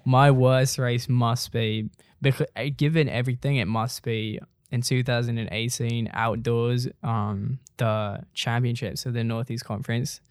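Young man, laid-back storyteller, mid-pitched, dry close recording. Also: a little slow, clean tone, no echo. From Iceland. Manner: relaxed, laughs while telling stories. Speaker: co-host, runner. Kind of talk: casual chat.

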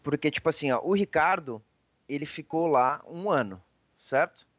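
A man's voice: a little high, animated, moderate pace, a bit bright, no room sound, highs cut off. A man with a Brazilian accent telling personal anecdotes in a casual, slangy, rambling conversational way.

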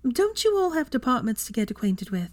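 Brisk, clever young witch, voiced by a woman, pitched up, persuasive. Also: very animated, darkish tone, dry recording. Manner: conciliatory and arch by turns.

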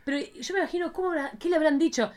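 A woman's voice: sits high, very fast, a little mellow. Campy Argentine woman chatting. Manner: campy, playful, slangy. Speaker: podcast host. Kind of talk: casual film chat.

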